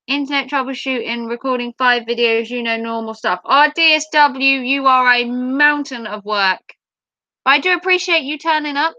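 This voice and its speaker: A woman livestreamer chatting playfully with her viewers, her voice going a little hoarse after hours of talking. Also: high-pitched, bright, forceful tone, dry recording, highs cut off. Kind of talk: casual chat with viewers.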